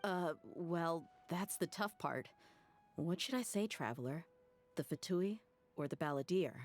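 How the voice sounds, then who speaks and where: A woman playing a plucky, expressive, easily exasperated young ally in a video game, earnest and emphatic. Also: fairly low pitch, unhurried. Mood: earnest, hesitant. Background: game music.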